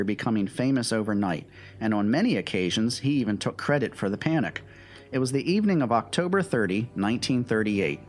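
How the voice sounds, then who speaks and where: A man presenting a history segment in scripted narration, with the odd informal aside. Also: medium pitch. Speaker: presenter of an old-time radio history segment.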